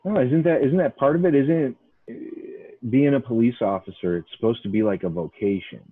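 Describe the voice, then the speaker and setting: A man's voice: mid-range voice, fairly quick, slightly rough, no room sound, phone-quality sound. A man from Chicago's north side hosting a casual podcast chat, emphatic and rambling, full of restarts and "like". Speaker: podcast host.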